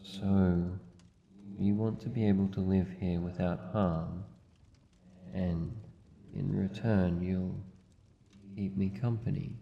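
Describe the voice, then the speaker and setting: An Australian man playing a wary, lonely living shadow monster in a fantasy ASMR roleplay, speaking in a formal, halting, guarded way. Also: low voice, fairly even intonation, creaky, heavily acted. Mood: guarded, softening. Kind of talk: fantasy ASMR roleplay, slow deliberate delivery. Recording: muffled, long cavernous reverb, faint noise.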